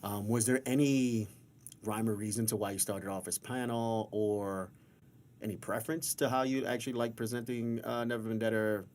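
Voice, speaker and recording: A man's voice: on the low side, steady tone, moderate pace, a little mellow. A man hosting a casual interview, informal and affirming, asking rambling open questions. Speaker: interviewer, podcast host.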